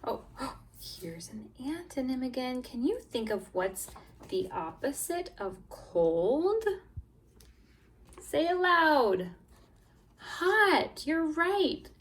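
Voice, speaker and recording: A woman teaches young language learners through a picture-book read-aloud, speaking very slowly and deliberately. Her highish voice swings up and down with exaggerated storytelling expression. She stresses key words and encourages listeners to answer along. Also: sing-song, fairly bright tone, a little hiss or hum. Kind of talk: read-aloud lesson for young children, with questions and prompts.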